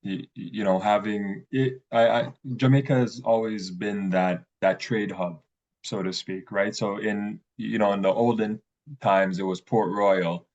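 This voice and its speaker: Deep-voiced guy asking questions, keeps stammering and restarting, sounds a bit dull and muffled. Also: steady tone, a little mellow. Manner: halting, many stammered restarts and fillers. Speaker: interviewer, host. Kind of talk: interview.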